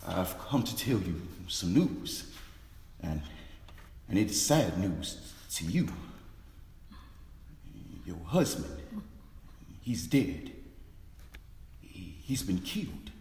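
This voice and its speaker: A man playing an anxious preacher who speaks haltingly in a dramatic stage reading. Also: deep voice, big pitch swings, darkish tone, exaggerated voice. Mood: grave, hesitant. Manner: halting, repeating, urgent. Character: anxious preacher gently breaking news of a death. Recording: echoey hall with faint noise.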